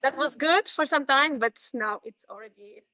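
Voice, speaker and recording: A woman's voice: high voice, normal speed, bright, forceful tone, dry recording. A woman from Russia in her thirties with a Russian accent, reflecting as a podcast guest, with many fillers and restarts. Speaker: guest, dance instructor. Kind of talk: podcast interview.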